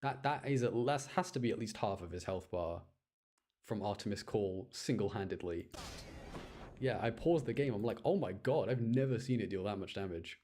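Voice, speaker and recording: A man giving casual gameplay commentary in an excitable way, repeating phrases for emphasis as he shows clips. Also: fairly low pitch, moderate pace. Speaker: gamer showing gameplay clips.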